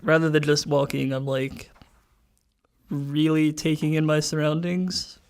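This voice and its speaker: A man's voice: a little high, flat delivery, measured pace. A man playing a tabletop game, chatting casually as he describes his character's actions.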